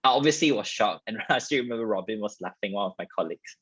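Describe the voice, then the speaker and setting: A man's voice: fairly low pitch, expressive, quick pace, fairly bright tone, husky, no echo, dull audio. A young man from Malaysia with a Malaysian accent, telling personal stories in a chatty, wordy way as a guest dentist in a career talk. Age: young adult.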